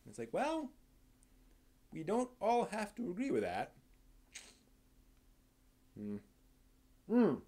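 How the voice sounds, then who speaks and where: A man hosting a live stream, giving casual unscripted commentary in a halting, rambling way that repeats words and trails off. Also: sits high, wildly swooping pitch, mellow. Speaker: live stream host and anime reviewer.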